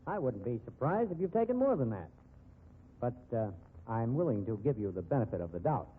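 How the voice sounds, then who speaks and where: A man's voice: medium pitch, sing-song, fairly quick, husky. An actor playing a hard-boiled, cynical male store investigator in 1950s radio drama style. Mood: accusing, bullying. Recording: muffled, dull 1950s radio recording.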